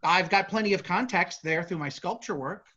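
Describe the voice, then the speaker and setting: Woman playing bright-voiced, eager traveling actor. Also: on the low side, normal speed, lo-fi sound. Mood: insistent. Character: eager, inventive traveling-troupe actor with big ideas. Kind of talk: staged play reading.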